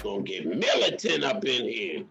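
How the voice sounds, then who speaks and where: A man's voice: mid-range voice, huge pitch swings, moderate pace, gravelly, a bit bright, faint music behind. A man hosting an interview program in casual talk, with emphatic, repetitive rhetorical phrasing. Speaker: program host.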